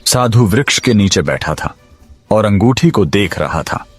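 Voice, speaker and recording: A man voicing a proud, commanding king in an animated moral story. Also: fairly low pitch, unhurried. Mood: storytelling. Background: music.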